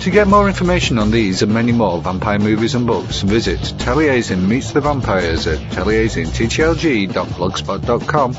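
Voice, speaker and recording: A man's voice: on the low side, big pitch swings, normal speed, few pauses. A man presenting scripted film reviews as a narrator. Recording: dull lo-fi with music behind. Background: music.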